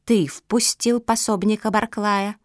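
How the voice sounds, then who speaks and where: A woman voicing a sharp-tongued, cynical female squirrel in a storybook, domineering and insinuating. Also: mid-range voice, steady tone, a little slow, dry recording, clean studio sound, voice pushed up, lightly acted. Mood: suspicious, demanding. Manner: insinuating, triumphant, interrogating.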